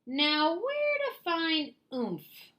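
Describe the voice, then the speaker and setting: Woman as storybook fox, male, sly, condescending, theatrical, animated pitch, bright tone. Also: extremely slow, exaggerated voice. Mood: scheming. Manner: boastful, theatrical, wheedling and dismissive. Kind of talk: children's storybook character voice.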